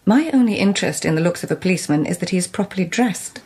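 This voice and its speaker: Low, husky, proud court lady. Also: animated, quick pace, darkish tone. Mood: stern. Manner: formal, crisp phrasing, dry. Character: cool, astute, proud lady-in-waiting at imperial court. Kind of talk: radio drama acting.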